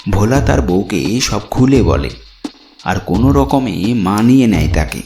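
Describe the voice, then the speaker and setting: A man's voice: fairly low pitch, very animated, measured pace, warm tone. A man reading scripted narration for a horror cartoon story. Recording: roomy echo, music behind. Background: music.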